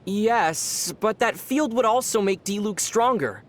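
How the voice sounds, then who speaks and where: An actor playing a calm young male traveler hero in a video game who answers in a dry, deadpan way. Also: high-pitched, unhurried. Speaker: player character. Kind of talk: video game character banter.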